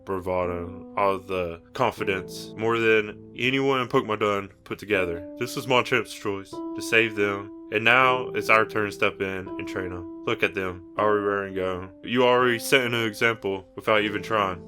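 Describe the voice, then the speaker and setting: A man's voice: lowish voice, moderate pace, hardly any pauses, over music, natural voice. A man voicing an earnest, sentimental creature who mentors a rescue team in a tabletop game, encouraging in manner.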